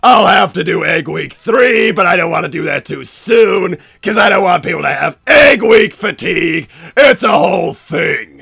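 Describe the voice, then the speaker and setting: Shouty, gravelly mad-scientist villain. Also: male voice, high-pitched, lively intonation, moderate pace, hardly any pauses, dry recording, clean studio sound, full character voice. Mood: exasperated. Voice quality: gravelly, raspy. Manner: theatrical, exasperated, rambling. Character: flamboyant, excitable male mad-scientist villain hosting a debate. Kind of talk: improvised in-character livestream hosting.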